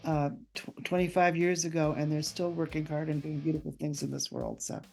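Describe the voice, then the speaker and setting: A woman's voice: low voice, little pitch movement, a little fast, vocal fry, warm tone, soft background music. An older woman, a guest author and writing teacher, speaking conversationally in an interview over a video call.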